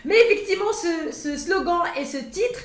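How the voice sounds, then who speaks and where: Woman, Arabic native speaker, high voice, hesitant, many restarts, echoey room. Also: lively intonation, normal speed. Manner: hesitant, many fillers and restarts, laughs often. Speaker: Quran teacher, guest. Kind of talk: casual interview talk.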